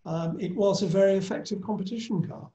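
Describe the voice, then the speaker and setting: A man on a video call, judging classic cars on a panel, talking in a measured, admiring way about fine detail. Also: high voice, steady tone, dark tone, reverberant, dull audio. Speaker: panel judge.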